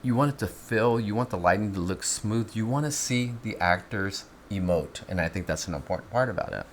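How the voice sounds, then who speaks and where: A man in casual instructional chat, explaining his film gear step by step with lively, teasing asides. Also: on the low side, fairly quick, slight background noise.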